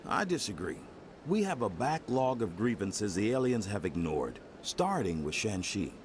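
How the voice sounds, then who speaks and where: An actor playing an earnest, smooth nationalist politician in a video game, formal and rhetorical. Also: male voice, lowish voice, big pitch swings, normal speed, a little hiss or hum. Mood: defensive, firm. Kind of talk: video game dialogue acting.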